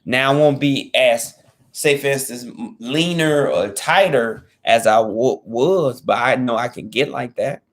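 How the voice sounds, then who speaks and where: A man in his thirties speaks to camera in an easygoing, earnest vlog style. He loops back and restates his points, leaning on informal slang. His voice sits at an ordinary middle pitch. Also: measured pace. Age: 30s. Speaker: fitness vlogger. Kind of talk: casual talk to camera.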